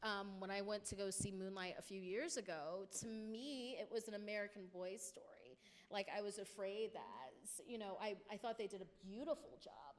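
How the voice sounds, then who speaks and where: A woman speaking on a college panel, talking thoughtfully with many "you know" fillers. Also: mid-range voice. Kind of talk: panel discussion. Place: college lecture hall.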